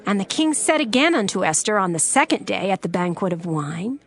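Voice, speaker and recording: Measured woman narrating scripture. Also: medium pitch, very animated.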